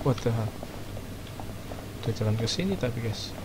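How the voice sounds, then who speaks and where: A man's voice: medium pitch, expressive, a little fast, very noisy. A man with an Indonesian accent giving casual, slangy gameplay commentary with muttered, sweary reactions. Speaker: gaming streamer. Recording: loud game music over voice. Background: loud game music.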